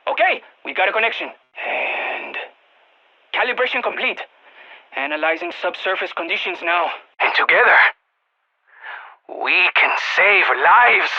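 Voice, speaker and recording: A man playing an excitable, quirky scientist advising a strike team over the radio in a video game, with a Spanish accent and exclamatory delivery. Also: sits high, measured pace, long pauses, highs cut off, heavily acted. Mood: upbeat, focused. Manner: animated, exclamatory, peppers speech with Spanish interjections. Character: excitable, quirky male exogeologist supporting a military strike team. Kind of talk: video game voice acting, mission radio callouts.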